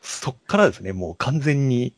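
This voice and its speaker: A man's voice: mid-range voice, animated, normal speed, no room sound. A man co-hosting a podcast, chatting casually about films in polite but informal speech and feeling his way through stories. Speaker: podcast co-host. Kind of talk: casual film chat.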